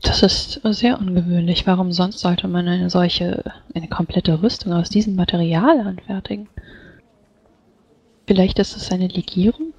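A woman's voice: on the low side, darkish tone, slightly rough, no room sound. Woman playing a composed mage, slightly dull sound. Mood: concerned, formal. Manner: formal, measured, courteous. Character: composed, dutiful female mage of a fantasy order. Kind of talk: tabletop roleplay in character.

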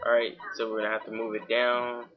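A young man from Georgia with a Southern accent, talking casually through a software tutorial at his home computer, full of fillers. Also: mid-range voice, monotone, brisk, phone-quality sound. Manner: informal, many fillers, talks while clicking. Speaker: tutorial host.

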